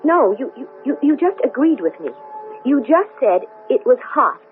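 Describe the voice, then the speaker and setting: An actress playing an anxious, strong-willed woman astronaut in a 1970s radio drama, speaking in an agitated, halting way. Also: sits high, very animated, soft background music. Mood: anxious, confused. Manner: agitated, halting, stammering speech. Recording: noisy, thin, phone-like old radio broadcast.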